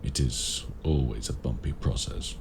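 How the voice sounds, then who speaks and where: A man playing a courteous, grave warlock mentor in raven form, speaking formally and deliberately in an audio roleplay. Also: extremely low pitch, vocal fry, noisy background, exaggerated voice. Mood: firm, matter-of-fact. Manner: formal, measured, deliberate phrasing. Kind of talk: audio roleplay addressed to the listener.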